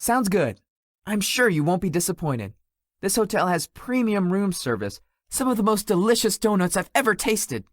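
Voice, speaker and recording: A man voices a laid-back young tech boss for a visual novel, hesitant and socially awkward, fond of comfort and sweets. The pitch moves around a lot, and the recording is close and dry. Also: very animated, moderate pace, dry recording. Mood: matter-of-fact. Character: Sloth, lazy, sweet-toothed young male tech company founder. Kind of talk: visual novel character dialogue.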